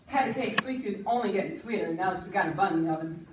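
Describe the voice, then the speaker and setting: A woman's voice: mid-range voice, fast, lightly acted. Echoey far-off noisy recording, woman playing practical frontier storekeeper, folksy, stage play projection. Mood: brisk, firm. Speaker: amateur stage actor in a school play. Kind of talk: projected amateur stage acting. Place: school stage, distant camera.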